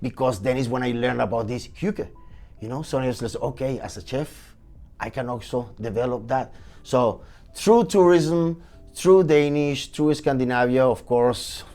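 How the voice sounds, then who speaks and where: A man from Venezuela in his forties speaks English with a Venezuelan Spanish accent in a mid-range voice. He tells his story with animated energy and wide swings in pitch. Faint music plays behind him. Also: moderate pace. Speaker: chef guest. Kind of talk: casual podcast interview.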